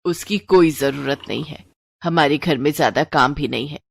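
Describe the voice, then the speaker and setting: A woman voicing a stern, practical older mother-in-law in an animated moral story. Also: low voice, normal speed, gravelly, husky, dry recording, clean studio sound, pitched below the performer's own voice, heavily acted. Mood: firm.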